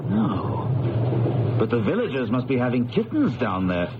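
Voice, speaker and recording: An actor playing a brisk, confident, educated young man who scoffs at ghosts, in a radio drama. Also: medium pitch, wildly swooping pitch, heavy background noise. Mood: tense, puzzled. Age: young adult. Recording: dull old radio recording, loud music.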